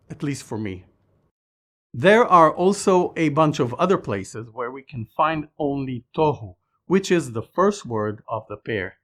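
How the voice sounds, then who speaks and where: A man narrates a scripted lesson in a calm, measured way. His voice sits at an ordinary middle pitch. He explains each point patiently, like a teacher at his desk. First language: Hebrew. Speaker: Hebrew teacher.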